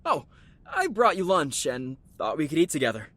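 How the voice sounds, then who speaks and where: A man's voice: a little high, huge pitch swings, normal speed, fairly bright tone, heavily acted. A man playing a smug, affectionate boyfriend who patronises underlings in a comedy audio drama.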